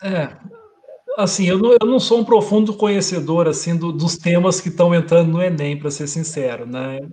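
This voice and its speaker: A middle-aged man speaks from a room at home over a video call, explaining things at an easy pace. His voice sits notably high for a man. He thinks aloud and keeps checking in with short tag questions. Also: a little fast, mellow, slight background noise. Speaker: guest lecturer.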